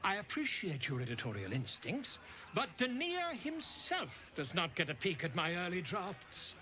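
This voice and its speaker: A pompous, vain male scribe in a fantasy game. His voice is crisp-edged and bright, swinging theatrically in pitch. There is faint noise behind it. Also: huge pitch swings, normal speed, heavily acted. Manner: florid, theatrical, self-important.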